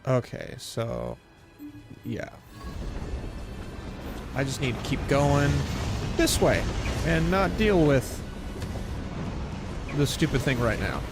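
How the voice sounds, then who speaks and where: American man, late thirties, game streamer, unhurried pace, thinking aloud, music behind. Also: mid-range voice, very animated. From west coast of the United States. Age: late 30s. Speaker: live streamer. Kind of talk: casual game stream chat. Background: video game music.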